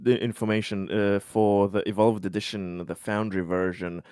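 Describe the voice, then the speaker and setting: A man's voice: on the low side, little pitch movement, moderate pace, darkish tone, smooth, no room sound, clean studio sound. A man playing a tabletop game, chatting casually about dice and rules.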